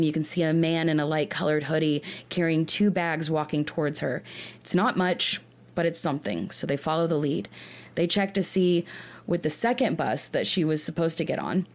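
A woman's voice: fairly low pitch, darkish tone. A woman hosting a true crime podcast, telling a case in an earnest way, often restarting her sentences. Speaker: podcast host.

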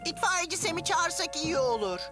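A woman playing an excitable, mischievous young boy with a theatrical, boastful manner in a dubbed children's cartoon. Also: very high voice, normal speed, music in the background. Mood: eager.

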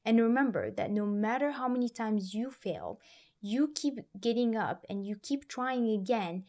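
A woman's voice: a little high, moderate pace, a little mellow. A young woman hosts an exam-prep channel, introducing a guest and interviewing him in an upbeat, encouraging way. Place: small room indoors. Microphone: desk microphone in frame.

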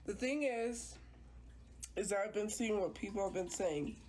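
A woman's voice: medium pitch, moderate pace, a little mellow, a little hiss or hum. A woman streaming live from home with a congested nose, chatting in a rambling, hesitant way with many restarts. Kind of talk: casual livestream chat. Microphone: phone.

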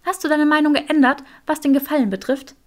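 A woman voicing a clever, stubborn young witch in an audiobook, curt and sharp-tongued. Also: sits high, fairly even intonation, a little fast, voice pushed up, lightly acted. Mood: wry, scheming.

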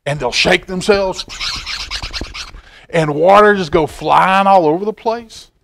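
A middle-aged man reading a picture book aloud to children in a folksy, expressive way, with chatty asides. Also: high voice, takes it slowly, creaky, slight background noise. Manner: folksy, expressive, asking the listener questions. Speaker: library storytime reader. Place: indoor library children's room.

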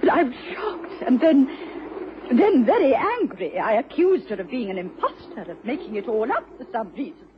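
An actress playing a proper, emotional doctor's wife in a radio drama, halting and formal in her agitation. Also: a little high, sing-song. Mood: angry, indignant. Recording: muffled, noisy old radio recording.

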